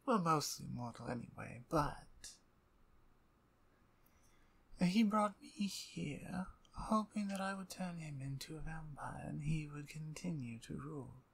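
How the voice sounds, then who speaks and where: Female vampire usurper , played by a woman, ASMR drama: low, unhurried, husky half-whispers, dominant, smug and mockingly courteous. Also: full character voice. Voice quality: breathy, husky. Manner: slow, taunting, mock-courteous, breathy half-whispers. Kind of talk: ASMR audio roleplay, dramatic monologue.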